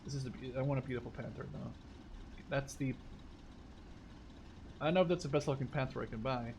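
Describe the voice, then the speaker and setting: A man's voice: mid-range voice, fairly quick, noisy background. A man in his twenties from the Netherlands giving casual, unscripted game commentary, rambling and thinking aloud. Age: 20s. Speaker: gaming YouTuber.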